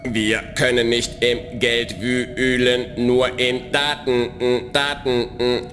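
A man's voice: mid-range voice, little pitch movement, slow pace, fairly bright tone, reverberant, faint music behind. A man playing a pedantic, scheming computer virus in a children's radio play, stuttering, repeating syllables and correcting himself.

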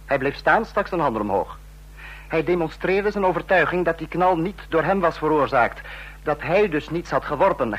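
An actor playing a sarcastic, domineering dictator in a radio drama, husky-voiced and biting as he scolds his subordinates. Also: fairly high pitch, a bit bright, rough recording.

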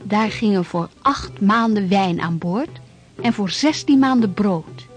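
An actress playing a formal reciter of old historical documents in a scripted radio drama. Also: female voice, mid-range voice, animated, unhurried, faint music behind, natural voice.

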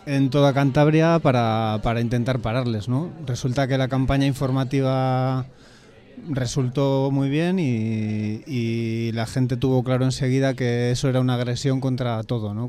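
A man with an accent from Spain answering informally in a radio interview as a member of a bookshop collective, halting and repeating words as he explains. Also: mid-range voice, dark tone.

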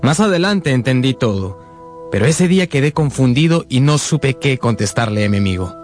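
Man playing an earnest young gentleman over music. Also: mid-range voice, expressive, moderate pace, lightly acted. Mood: reminiscing. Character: earnest, sentimental young gentleman recalling his life. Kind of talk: dramatized first-person storytelling for radio. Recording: clear music behind the voice.

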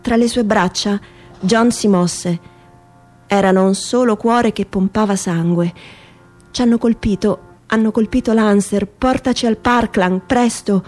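A woman reads literary prose as radio theatre, in a measured, phrase-by-phrase delivery. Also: medium pitch, darkish tone. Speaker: stage narrator, reader.

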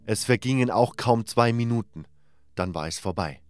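A man's voice: medium pitch, normal speed, smooth, no room sound. A man narrating a scripted fantasy adventure story in the first person.

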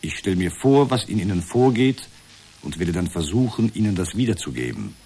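An actor playing a calm therapist in a radio drama, speaking in measured, formulaic reflections. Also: male voice, low voice, slight background noise. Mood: measured, probing. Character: male talk therapist, calm, formulaic, parroting his client.

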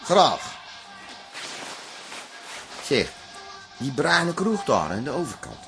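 An actor playing a nostalgic, crude, middle-aged new-wave punk in a Dutch radio comedy, blunt and drawling. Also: male voice, medium pitch, very animated, slow, bright, forceful tone, faint music behind, heavily acted. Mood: casual.

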